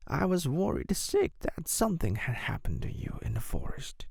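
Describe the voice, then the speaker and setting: A man's voice: fairly high pitch, wildly swooping pitch, normal speed, creaky, darkish tone, husky, no room sound, studio quality, pitched above the performer's own voice, heavily acted. A man voicing a kindly, fretful old grandmother in a fairy tale, fussing fondly. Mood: relieved.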